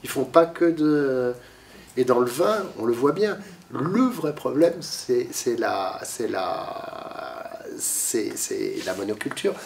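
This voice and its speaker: A man's voice: medium pitch, animated, slow pace, creaky, recorded from a distance, slight background noise. A man with a cold giving a talk to a library audience, lecturing with lots of fillers and restarts, coughing now and then. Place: library meeting room.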